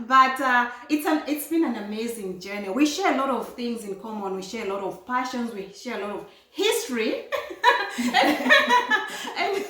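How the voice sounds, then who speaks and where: A woman's voice: a little high, very animated, normal speed, pushed and bright. A woman from Malawi, hosting a talk show in an earnest way and laughing between phrases. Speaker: host and empowerment coach. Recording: echoey room.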